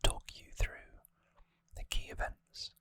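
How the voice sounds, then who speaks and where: Whispering ASMR host, adult, very slow and soft, close-miked, with hesitant pauses. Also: no echo. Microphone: close-up microphone.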